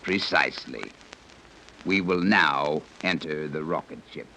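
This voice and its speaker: An actor playing a stern, formal guide from a hidden advanced civilization in a 1930s radio serial, explaining things precisely. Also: male voice, very deep voice, unhurried. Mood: formal, matter-of-fact. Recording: noisy old 1930s radio recording.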